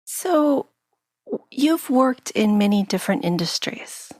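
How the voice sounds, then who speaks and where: A woman's voice: medium pitch, big pitch swings, takes it slowly, a little mellow, dry recording, rough recording. A woman co-hosting a podcast, asking questions in a playful, easy-laughing way. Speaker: podcast co-host. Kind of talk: casual interview chat.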